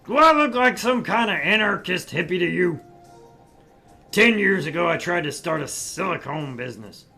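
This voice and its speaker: A man's voice: sits high, animated, normal speed, gravelly, pushed and bright, faint music behind, full character voice. A man voicing a brash, boastful older businessman in a visual novel, with a blustery, sardonic and condescending way of talking. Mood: sardonic, ranting. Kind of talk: visual novel read-aloud.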